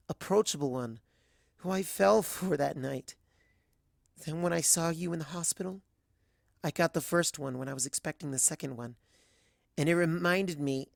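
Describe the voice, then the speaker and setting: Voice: medium pitch, gravelly, no room sound, studio quality. A reader voicing a timid, earnest young female pony who speaks in a hesitant, pleading way, in a dramatic fan fiction reading. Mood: wistful.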